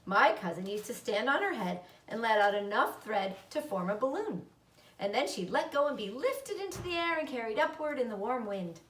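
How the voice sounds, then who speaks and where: A woman voicing a calm, motherly female spider storyteller who speaks in a measured, encouraging way. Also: on the high side. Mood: storytelling. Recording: roomy, echoing, distant microphone.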